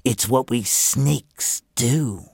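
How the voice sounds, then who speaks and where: Man voicing a harsh mother snake, commanding, slow, stern, storybook telling. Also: mid-range voice, gravelly, darkish tone, slightly rough, dry recording, studio quality, heavily acted.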